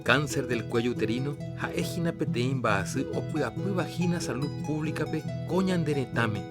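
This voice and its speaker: A man co-hosting a health radio program, announcing in a measured way. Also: mid-range voice. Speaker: radio co-host. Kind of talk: scripted radio presentation. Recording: radio program with loud guitar music.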